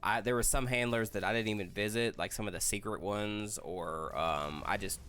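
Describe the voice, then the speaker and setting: A man's voice: lowish voice, normal speed, fairly bright tone, clear, resonant tone. A man co-hosting a gaming show, chatting casually about video games.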